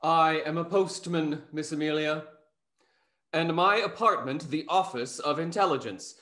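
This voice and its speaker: A man's voice: on the high side, a little slow, pushed and bright. A man playing a snarky, self-important society gossip, arch and pompous, in a Restoration comedy reading. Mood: arch, gossipy. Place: small indoor room on a video call. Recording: echoey room over video call.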